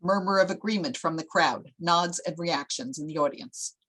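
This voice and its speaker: A woman's voice: fairly low pitch, moderate pace, a bit bright. A woman narrating a screenplay at a table read, reading out scene headings and stage directions.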